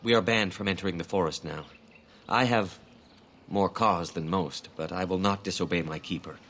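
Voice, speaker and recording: An actor playing a grieving elven hunter in a fantasy game, speaking in a formal, measured, earnest way. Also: male voice, low-pitched, a bit bright, slight background noise. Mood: guarded.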